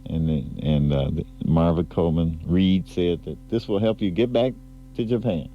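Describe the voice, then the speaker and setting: A man's voice: low voice, normal speed, a little hiss or hum. A man DJing on the radio, chatting casually, rambling and laughing between records. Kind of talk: casual on-air DJ talk. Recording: muffled 1980s AM/FM aircheck tape.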